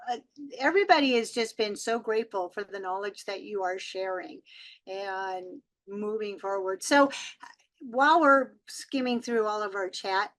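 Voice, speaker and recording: A woman hosting an online question and answer session in a casual, effusive and appreciative way. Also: mid-range voice. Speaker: webinar host.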